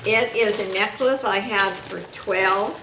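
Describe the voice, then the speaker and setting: Lady with a loud voice selling rings, slow, echoey room, faint hiss. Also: mid-range voice. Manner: loud, slow and deliberate, item by item. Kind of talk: casual live-stream selling.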